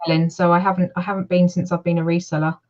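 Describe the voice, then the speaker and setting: A woman's voice: fairly low pitch, little pitch movement, fast, a little mellow. A woman from Suffolk with a southern English accent, a guest chatting casually, with a hesitant, filler-filled way of talking. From Suffolk, England. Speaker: guest, reseller. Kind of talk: casual interview chat. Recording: muffled lo-fi call audio.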